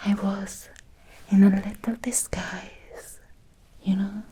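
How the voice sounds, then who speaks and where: A woman playing an obsessed, seductive Victorian vampire in an ASMR roleplay, whispering softly and slowly in an intimate, breathy voice. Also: mid-range voice, little pitch movement, a little hiss or hum, lightly acted. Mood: tender, intimate. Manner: slow, intimate, deliberate with long pauses. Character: obsessed, seductive Victorian female vampire, hungry yet restrained.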